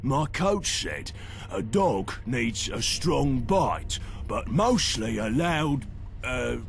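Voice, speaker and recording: An actor playing a simple, good-hearted big brawler in a video game, with a gravelly British voice and a halting, earnest manner that fumbles for words. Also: male voice, medium pitch, sing-song, faint music behind, full character voice. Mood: sheepish, earnest. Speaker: companion character in a video game.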